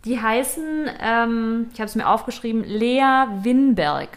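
A woman's voice: fairly high pitch, lively intonation, takes it slowly. A woman co-hosting a fashion podcast, telling shopping stories in a chatty, self-deprecating way. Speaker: podcast co-host. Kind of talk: casual chat.

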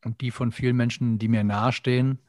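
A man's voice: medium pitch, flat delivery, quick pace, darkish tone, no echo, clean studio sound. A middle-aged man guesting on a podcast, talking earnestly and with animation, often acting out little quoted inner voices. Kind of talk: reflective conversational interview.

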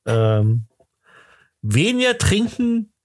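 Halting, rambling male podcast host, dry close sound. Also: high voice, sing-song, darkish tone, no echo. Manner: hesitant, rambling, halting delivery. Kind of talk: casual, joking chat.

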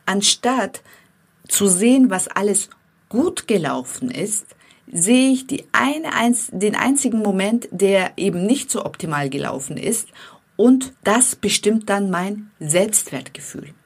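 A woman with a slight foreign accent giving a calm, earnest self-help talk, speaking directly to her listeners. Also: medium pitch, measured pace, breathy edge. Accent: non-native accent. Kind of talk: motivational monologue to viewers.

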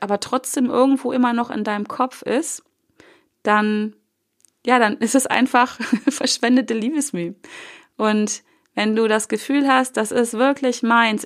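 A young woman with a cold, hosting a solo motivational podcast in a casual coaching tone, with loose fillers and the odd swear word. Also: on the high side. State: has a cold. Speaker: podcast host.

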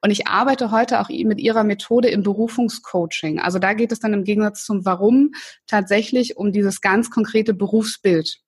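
A woman's voice: medium pitch, moderate pace, breathy edge. A woman from northern Germany, a career coach talking enthusiastically as a podcast guest, with lots of fillers and restarts. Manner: warm, enthusiastic, chatty with many fillers. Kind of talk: casual interview talk.

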